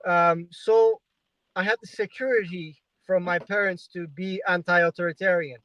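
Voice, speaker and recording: A man's voice: high voice, fairly even intonation, normal speed, a bit bright, no echo, poor audio. A man in his twenties who grew up in Turkey, telling his story as a livestream guest in a chatty way with frequent fillers. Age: 20s. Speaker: guest journalist. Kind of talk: livestream interview. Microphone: video call.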